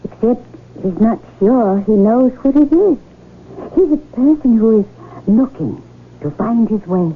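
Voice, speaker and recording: An actress in a 1970s radio drama playing a wistful, soft-hearted young casino dealer who poses as a princess. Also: female voice, on the high side, animated, unhurried. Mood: gentle, poised. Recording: muffled, hissy 1970s radio broadcast recording.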